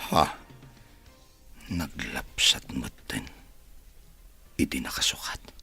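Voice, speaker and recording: An actor playing a smitten, evasive young gentleman, a grown son, in an Ilocano radio drama. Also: a bass voice, animated, slow, creaky, husky, no room sound, in a whisper, soft background music, full character voice. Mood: evasive, stammering.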